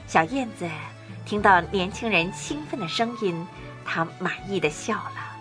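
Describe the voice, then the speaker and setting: A woman narrating a children's fairy tale from a script, with an expressive storytelling manner. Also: mid-range voice, unhurried. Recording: clear music bed. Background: music.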